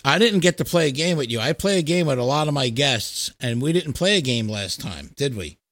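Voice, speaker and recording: A man's voice: medium pitch, animated, fairly quick, a bit bright, no room sound. A middle-aged man hosting a casual chat podcast, talking in a blunt, emphatic, opinionated way with plenty of swearing. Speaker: podcast host.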